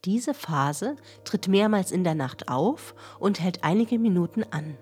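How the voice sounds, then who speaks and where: A science explainer, played by a woman, instructs young listeners in a children's radio play. Her voice sits fairly low and her manner is factual and didactic, with faint music behind her. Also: lively intonation, a little slow, a little mellow, exaggerated voice. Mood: instructive. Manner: clear, didactic, addressing listeners directly. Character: female science explainer addressing children, matter-of-fact, instructive.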